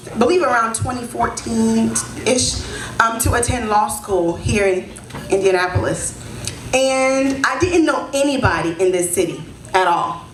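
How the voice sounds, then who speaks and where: A woman in her thirties, born in Haiti and raised in Florida, hosts a community celebration. Her voice sits a little high and carries with a roomy echo through the hall. She talks to the audience in a warm, conversational way, often checking in with them as she goes. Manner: conversational, often adds "right?" to draw in the crowd. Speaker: event host and senior advisor. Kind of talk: speech at a community event. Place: event hall. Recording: echoey hall.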